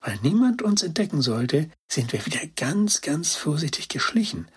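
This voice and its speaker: A man voicing a young, earnest female dog who tells a story in a childlike, excited way, as in a children's storybook. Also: fairly high pitch, normal speed, breathy edge, exaggerated voice. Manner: childlike, excited storytelling with naive colloquial words. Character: Gretine, young female toy-rescuing dog, earnest and compassionate.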